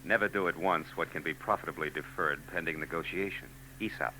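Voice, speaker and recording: A man's voice: low voice, brisk, bright, forceful tone, poor audio. An actor playing a hard-boiled male private eye who narrates and trades wisecracks, in a 1940s radio drama. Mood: sardonic. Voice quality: dry, wisecracking. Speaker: narrator and lead. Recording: old radio broadcast tape with faint noise.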